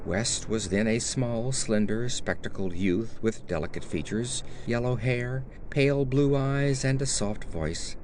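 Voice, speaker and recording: A man narrating a scripted horror story in a measured, deliberate, formal way. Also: mid-range voice, a little mellow, slight background noise. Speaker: audiobook narrator.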